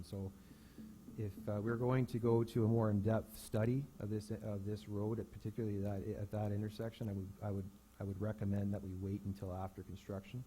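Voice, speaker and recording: A town staff member, a man, answering council questions in a halting way with many restarts. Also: lowish voice, dark tone, slight background noise, rough recording.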